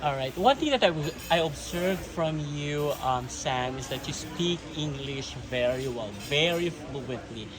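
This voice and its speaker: A man with a Filipino accent asking interview questions in a polite, halting way with many fillers. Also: fairly high pitch, lively intonation, music in the background, rough recording. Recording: crowd chatter behind. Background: crowd chatter.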